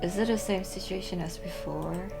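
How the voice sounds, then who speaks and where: A woman's voice: on the low side, normal speed, gravelly. A woman giving casual, playful let's play commentary, informal and reactive as she follows the game. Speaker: game streamer. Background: game music.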